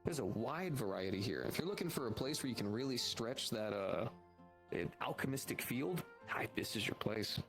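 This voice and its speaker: A man with a medium-pitched voice talks at length as a roleplaying guild merchant. He explains options in a wordy, salesman-like way, improvising as he goes, with clear music playing behind him. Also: expressive, moderate pace, a bit bright. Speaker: roleplayer running a guild shop in a social VR roleplay.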